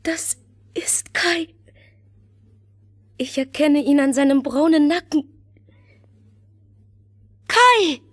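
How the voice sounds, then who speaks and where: A woman's voice: sits high, expressive, drawn-out words, long pauses, heavily acted. An actress playing a brave, earnest little girl in a fairy-tale audio drama. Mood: excited.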